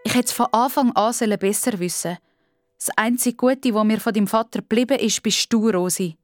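Casting: weary mum with a dry bitter edge, voiced by a female narrator, Swiss German accent, lifted pitch, children's radio play. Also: fairly quick, natural voice. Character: young girl's weary mother, dryly bitter about her ex.

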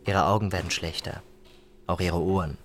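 A man narrating a literary story for a radio feature in a measured storytelling manner. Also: low-pitched, fairly even intonation. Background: music.

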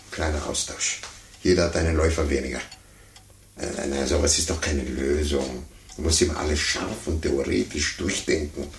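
An actor playing a pompous, condescending sore loser, stammering and blustering in a 1950s radio play. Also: male voice, rumbling low. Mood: smug, lecturing. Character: pompous adult man, a blustering, sore-losing chess player. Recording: roomy, noisy 1950s radio recording.

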